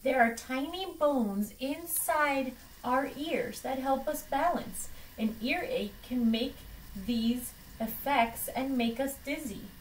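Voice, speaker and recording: A woman's voice: high voice, takes it slowly, a little hiss or hum, voice pushed up. A woman voicing an emphatic, exasperated dog doctor who lectures his patients in a children's storybook. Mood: instructive. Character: stern, exasperated know-it-all dog doctor. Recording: distant microphone in a room.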